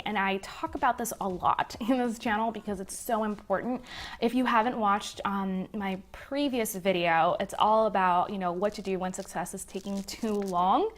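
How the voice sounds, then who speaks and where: A woman in her twenties from Puerto Rico with a Puerto Rican accent, a native Spanish speaker, answering viewer questions to camera in a chatty way from her living room. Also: mid-range voice. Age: 20s. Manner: chatty, gestures a lot. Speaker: business vlogger. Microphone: webcam at desk.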